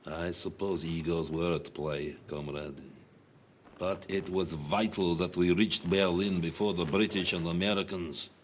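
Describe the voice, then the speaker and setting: An actor playing a cold, stern Soviet commander who speaks in a measured, authoritative way, over loud string music. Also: male voice, low-pitched, fairly even intonation, a little hiss or hum. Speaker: Soviet senior officer interrogating the narrator.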